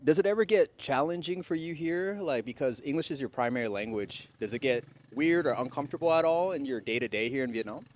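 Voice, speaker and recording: A man's voice: mid-range voice, a little fast, few pauses, darkish tone, slight background noise. Curious male interviewer. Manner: curious, asks follow-up questions. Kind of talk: casual conversational interview. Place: in Vietnam.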